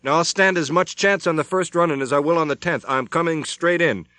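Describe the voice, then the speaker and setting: A man voicing a determined, shaken pilot who speaks deliberately. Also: medium pitch, fairly bright tone, dry recording, voice pushed up, close to an everyday voice. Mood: desperate. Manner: deliberate, stubborn.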